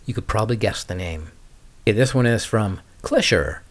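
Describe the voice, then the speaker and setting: A man's voice: lowish voice, animated, normal speed. A man hosting a skating podcast, chatting casually and ramblingly with dry, wry humour as he answers viewer questions.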